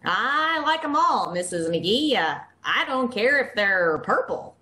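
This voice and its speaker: A woman's voice: on the high side, very animated, slow, pushed and bright, exaggerated voice. A woman playing a naive, cheerful, boy-crazy young girl in old-time radio comedy, dreamy and guileless. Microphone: video call. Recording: video call with faint noise.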